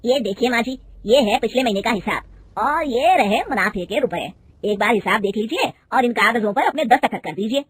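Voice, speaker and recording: Woman doing a sly man flattering his boss, breathy. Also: fairly high pitch, normal speed. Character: smooth-talking male factory manager, greedy.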